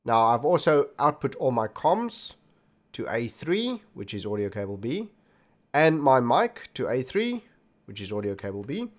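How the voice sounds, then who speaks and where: Guy doing a computer how-to, mid pitched voice, talks you through each step. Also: sing-song, measured pace. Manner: instructional, addresses viewers directly. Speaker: tech tutorial host. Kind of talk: scripted-feeling screen tutorial narration, step by step. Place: indoors at a desktop computer, voice recorded while demonstrating. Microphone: desktop computer microphone.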